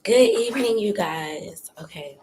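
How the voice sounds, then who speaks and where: Hoarse young woman with a raspy voice. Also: medium pitch, lively intonation, measured pace, slight background noise. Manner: talks softly, holding back her voice. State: hoarse, losing her voice from a cold. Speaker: vlogger doing a live stream. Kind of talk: casual chatty live update. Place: outdoors by her patio at home.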